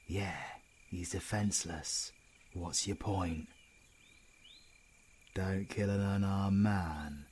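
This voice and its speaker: A man's voice: fairly low pitch, breathy edge. A British man playing a cocky, sarcastic, menacing supervillain in an audio roleplay. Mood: cold, menacing. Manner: sarcastic, theatrical, foul-mouthed British slang. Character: cocky, taunting supervillain, flippant yet menacing killer. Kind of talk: ASMR audio roleplay acting.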